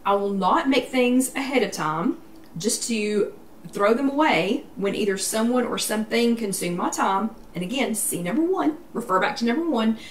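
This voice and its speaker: A woman hosting a casual live chat, chatty and emphatic, repeating herself for effect and now and then coughing or clearing her throat. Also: fairly high pitch, animated, moderate pace. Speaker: vlog host. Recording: echoey room, distant mic, faint noise.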